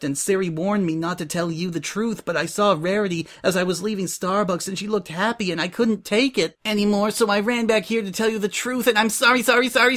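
Timid young female pony assistant, played by a male narrator: raised pitch, shaky, apologetic, storybook delivery. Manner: stammering, shaky, breathless pleading.

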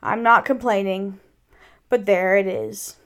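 A woman's voice: mid-range voice, steady tone, slow pace, lightly acted. A woman voicing a gloomy, self-pitying old male donkey from a storybook, with a mournful, sighing, sarcastic delivery. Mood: gloomy sarcasm.

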